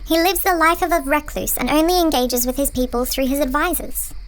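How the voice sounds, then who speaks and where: A woman's voice: high voice, a little fast, lightly acted. A woman playing a tiny, courteous and composed mouse queen who speaks in formal, stately phrases in an audio drama. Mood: calm counsel. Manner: formal, stately, imperious diction.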